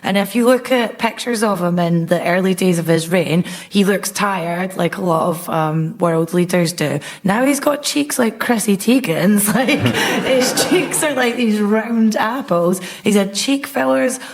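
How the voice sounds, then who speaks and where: A woman's voice: medium pitch, unhurried, few pauses. A young adult woman giving conversational, stand-up style comic commentary on a panel show. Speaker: comedy panellist.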